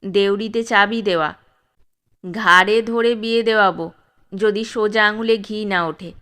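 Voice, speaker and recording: A woman's voice: mid-range voice, steady tone, a little slow, pushed and bright, voice pushed down. A woman voicing a harsh, commanding old male dacoit chief in a spoken storybook. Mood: menacing. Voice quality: harsh, gruff. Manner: commanding, threatening.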